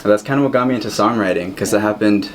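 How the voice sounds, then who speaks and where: A man's voice: lowish voice, fast talker, a crowd in the background. A man of twenty-one talking casually as a radio guest, laid-back and slangy. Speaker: guest singer-songwriter. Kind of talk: casual chat. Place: local radio studio. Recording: faint background noise on live radio.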